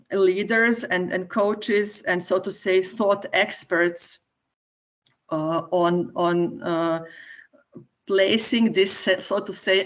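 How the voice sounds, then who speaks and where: A woman's voice: lowish voice, muffled recording. A woman from Serbia, a guest on a podcast, explaining her views and often ending points with a questioning "right?". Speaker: guest, entrepreneur. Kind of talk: podcast interview answer. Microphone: remote call.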